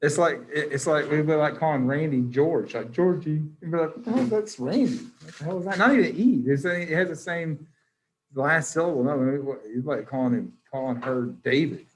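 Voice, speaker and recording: A man's voice: a little high, fairly quick, slight background noise, low-quality recording. Joking, teasing male teacher. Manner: joking, teasing, many restarts. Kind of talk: casual class chat on a video call. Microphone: video call.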